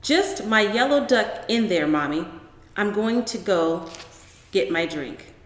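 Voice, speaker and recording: A woman voicing a calm, reluctant little boy in a storybook, speaking in a casual, hesitant, unbothered way. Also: mid-range voice, slow pace. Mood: unbothered, matter-of-fact. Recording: echoey, reverberant room.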